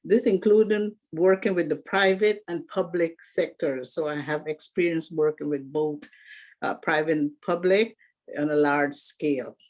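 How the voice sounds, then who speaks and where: A woman's voice: deep voice, a little slow, darkish tone. Woman in her sixties giving a campaign speech. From Guyana. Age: 60s. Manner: earnest, organized, occasional restarts. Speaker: candidate for alumni association president.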